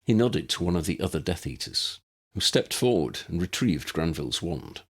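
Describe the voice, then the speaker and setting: A male audiobook narrator with a deep voice and a husky grain. He reads scripted fiction with widely varied intonation. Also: sing-song, normal speed.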